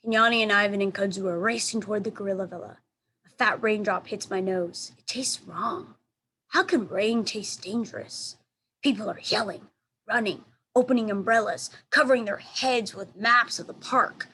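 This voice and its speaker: A woman's voice: mid-range voice, normal speed, pushed and bright. Woman reading a storybook over background noise. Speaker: elementary school teacher reading aloud. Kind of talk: expressive storybook narration.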